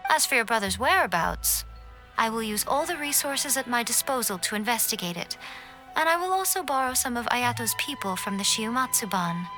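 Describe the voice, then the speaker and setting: A woman voicing a knowing, courteous divine fox familiar in an anime video game, speaking in a measured, formal, gently wry manner. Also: a little high, lively intonation, music in the background, natural voice, low-quality recording.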